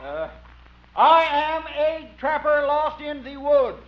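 An actor playing a guileless, forgetful amateur stage performer, reciting stiltedly in 1950s radio comedy style. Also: male voice, extremely high pitch, animated, takes it slowly, heavily acted. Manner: stilted reciting, reads stage directions aloud. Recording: muffled noisy 1950s radio, loud studio audience.

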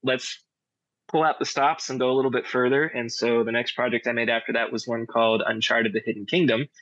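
A man with a middle-pitched voice talks casually as an interview guest. His manner is modest and understated. The sound is very dry and slightly dull, lo-fi. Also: flat delivery, a little fast, fairly bright tone, no room sound. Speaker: audio drama director and sound designer.

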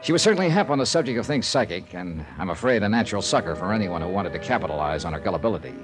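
A man's voice: sits low, expressive, rapid-fire, rough recording. An American man acting as a wry insurance investigator, narrating his case conversationally in a 1950s radio drama. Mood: wry, reflective. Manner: wry, conversational first-person storytelling. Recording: 1950s radio broadcast with background music.

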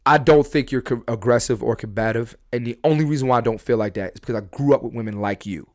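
A man from Philadelphia hosting a casual podcast chat, talking in animated bursts with many restarts and plenty of swearing. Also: medium pitch, fast talker, no echo, clean studio sound. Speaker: podcast host.

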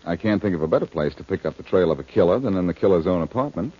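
An actor playing a wry, confident amateur detective in a 1940s radio drama, self-assured and quick with a wisecrack. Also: male voice, low voice, expressive, warm tone, breathy edge. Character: wry, confident amateur sleuth, adult man. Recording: dull, noisy vintage radio recording.

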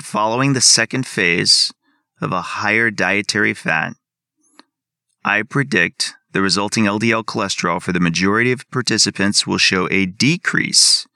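A man's voice: fairly low pitch, moderate pace, sharp tone, no echo, clean studio sound. A man as a podcast guest, methodically laying out the plan of his experiment. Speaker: guest researcher. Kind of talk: explaining an experiment.

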